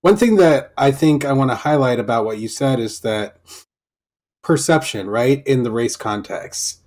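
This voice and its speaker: A male podcast host in a casual interview speaks quickly and eagerly. His voice sits at an ordinary pitch for a man as he asks his guest questions and follows up on the answers. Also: animated, a little mellow.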